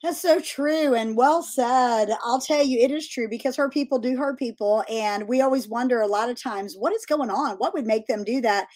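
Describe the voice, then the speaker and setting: A woman's voice: fairly high pitch, moderate pace, hardly any pauses, darkish tone. A woman hosting a faith-based discussion, asking her guests questions and encouraging them. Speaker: host.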